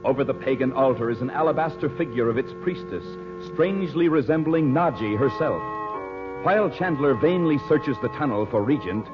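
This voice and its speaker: A male American announcer in vintage 1940s radio style, with a very lively, swinging delivery. Music sits behind his voice, and the old broadcast sound is dull and muffled. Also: fairly high pitch. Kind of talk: 1940s radio announcing, scripted narration and sponsor pitch.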